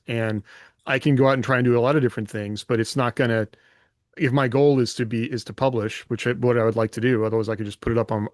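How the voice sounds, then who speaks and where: A man's voice: medium pitch, dry recording, studio quality. A man co-hosting a podcast in a casual, roundabout way, as if thinking aloud. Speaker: podcast co-host and writer.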